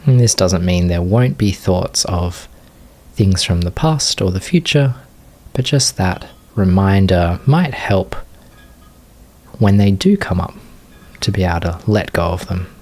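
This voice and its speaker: Male meditation teacher, low register, very slow delivery, short phrases separated by long pauses. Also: lively intonation, a little mellow. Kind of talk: guided meditation, unscripted gentle instruction.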